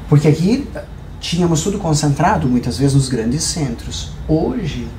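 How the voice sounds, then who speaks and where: A man with a southern Brazilian accent and a fairly high, very mellow voice talks through ideas on a podcast. He explains with examples and rhetorical questions, in a roomy, echoing space. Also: lively intonation, unhurried. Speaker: podcast guest, hair professional. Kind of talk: conversational podcast discussion. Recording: roomy echo, clear background noise.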